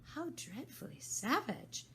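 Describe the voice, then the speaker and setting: A woman's voice: on the high side, sing-song, normal speed, darkish tone, a little hiss or hum, pitched above the performer's own voice. A woman voicing a polite, curious young girl who is easily offended.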